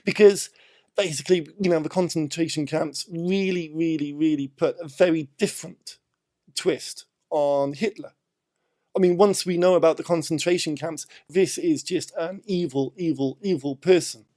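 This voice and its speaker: A British man with a fairly high voice discusses film casually. He explains his points step by step, leaning on repeated words for emphasis. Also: moderate pace, a little mellow. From Britain.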